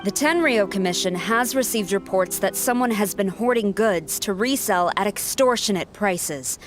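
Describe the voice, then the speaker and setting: A woman's voice: medium pitch, animated, normal speed, close to an everyday voice. A woman playing a stern, authoritative law officer in a video game, speaking formally. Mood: stern, official. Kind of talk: English video game voice acting. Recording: game music clearly playing behind the voice. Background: video game music.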